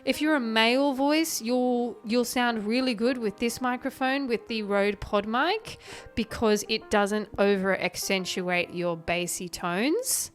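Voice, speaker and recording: A woman with a light, higher voice, talking in a friendly, chatty and explanatory way on a close podcast microphone. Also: measured pace, soft background music. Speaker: host reviewing microphones. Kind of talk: casual tech review talk to camera.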